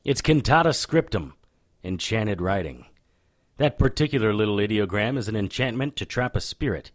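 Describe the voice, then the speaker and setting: A man's voice: lowish voice, animated, normal speed, no echo, studio quality, lightly acted. A man playing a cocky, sardonic occult detective in a noir-flavored audio drama, showy and needling. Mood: knowing.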